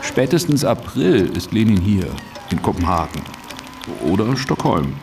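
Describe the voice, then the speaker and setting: An actor playing a glib, eager political fixer in a German radio drama, breezy, colloquial and assured. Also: male voice, fairly low pitch, big pitch swings, measured pace, music in the background.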